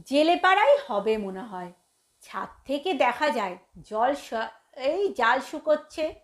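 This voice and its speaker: A woman narrates a story from a script in an expressive storytelling style. Her voice is high in pitch and she takes her time, with a very slow, measured pace.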